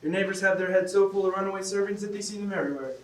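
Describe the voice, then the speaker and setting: A man's voice: sits high, rapid-fire, lightly acted. A man on stage playing a brusque, formally courteous military officer in a classic comedy, with a commanding manner. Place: theatre stage, recorded from the audience. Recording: roomy, distant stage recording with hiss.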